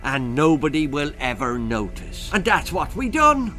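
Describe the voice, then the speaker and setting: A man's voice: a little high, sing-song, unhurried, pushed and bright, soft background music, exaggerated voice. A man voicing a tiny, cheery, forgetful old leprechaun with an Irish accent and a chatty, folksy manner. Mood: confiding, gleeful. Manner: chatty, folksy, repetitive greetings.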